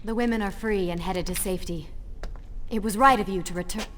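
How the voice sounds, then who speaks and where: Woman acting proud, sharp-tongued young heroine, bright voice. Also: mid-range voice, a little fast, a little hiss or hum, natural voice. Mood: calm. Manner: sharp, indignant. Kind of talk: dramatic video game acting.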